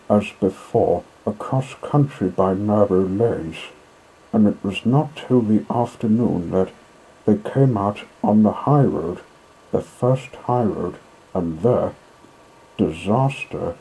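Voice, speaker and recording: Male audiobook narrator, husky and unhurried, mellow tone, measured dramatic storytelling for a children's classic. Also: fairly low pitch, rough recording.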